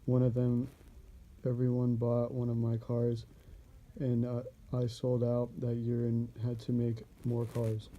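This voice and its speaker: A man's voice: mid-range voice, monotone, measured pace, warm tone, a little hiss or hum, lightly acted, low-quality recording. A man playing an earnest car inventor interviewed on a radio show, speaking in a plain, slightly stilted way.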